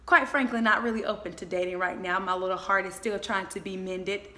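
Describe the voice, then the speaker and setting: A woman in her twenties giving a motivational faith talk, speaking in an emphatic, exhorting way with deliberate repetition. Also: medium pitch, steady tone, sharp tone. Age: 20s.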